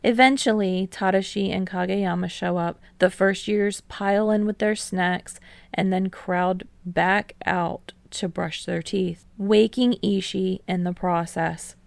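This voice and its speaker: A woman narrates fiction in an audiobook style. Her delivery is slow and measured, with a creaky edge to the voice and a close, dry sound. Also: medium pitch, fairly even intonation, dry recording. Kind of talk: scripted story narration.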